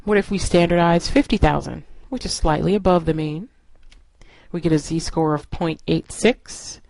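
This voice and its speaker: A woman's voice: low voice, normal speed, gravelly, a little mellow, no echo, low-quality recording. A woman instructor narrating a lesson in an explanatory, step-by-step way. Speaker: statistics instructor.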